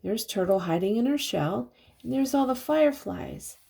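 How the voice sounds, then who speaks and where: An older woman reading a picture book aloud to young children, pausing to explain things and ask simple questions. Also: fairly high pitch, vocal fry, husky. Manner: expressive, simple explanations and questions for little listeners.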